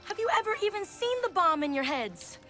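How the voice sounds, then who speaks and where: A woman's voice: very high-pitched, very animated, brisk, bright, forceful tone, faint music behind, heavily acted. An actress playing a sarcastic, rebellious eighteen-year-old girl in a video game cutscene. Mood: defiant. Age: young adult. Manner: sarcastic, valley-girl fillers.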